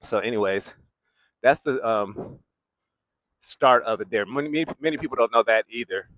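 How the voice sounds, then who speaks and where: A man's voice: mid-range voice, moderate pace, fairly bright tone, dry recording, poor audio. A young man talking casually as he walks a city street, rambling and laughing often, holding a handheld mic. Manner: rambling, laughs often, loops back to his point. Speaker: vlogger walking the street. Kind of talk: casual walking vlog commentary. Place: outdoors on a city boulevard.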